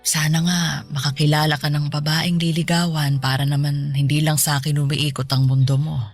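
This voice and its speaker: A woman playing an affectionate, ailing elderly mother in a radio drama, speaking in a colloquial, motherly, gently nagging way. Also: deep voice, level tone, moderate pace, soft background music. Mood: fond, teasing. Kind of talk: Tagalog radio drama acting.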